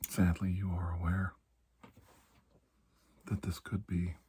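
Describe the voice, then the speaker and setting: A man playing a calm, reassuring doctor in a soft-spoken ASMR medical roleplay, often dropping into a whisper with slow pauses. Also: sits low, husky, full character voice. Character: calm, reassuring male doctor treating an alien affliction.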